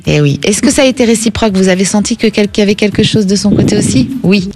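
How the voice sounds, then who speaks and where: A middle-aged woman hosting a call-in radio psychic show, advising a caller gently and often adding a little "hein". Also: medium pitch, expressive, fast, loud music behind the voice. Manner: reassuring, gently advising, frequent tag "hein".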